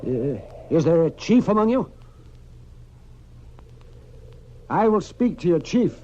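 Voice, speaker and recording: An actor playing a steady, protective frontier doctor in an old radio Western, talking in a deliberate, sometimes muttering way. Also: male voice, high-pitched, muffled recording. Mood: guarded, firm. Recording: noisy old-time radio recording.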